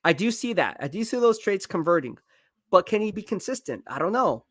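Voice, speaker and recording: A man live-streaming football draft commentary, excitable and hyping each pick, his voice going hoarse. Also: high voice, fairly quick, no room sound, clean studio sound. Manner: excitable, hypes picks, reads chat aloud. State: losing his voice.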